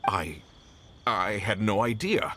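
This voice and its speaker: Shifty, animated, stammering game merchant. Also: male voice, fairly low pitch, slow, slight background noise, full character voice. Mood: panicked. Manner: stammering, pleading. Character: shifty, cornered male merchant, nervous and whining. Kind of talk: video game voice acting.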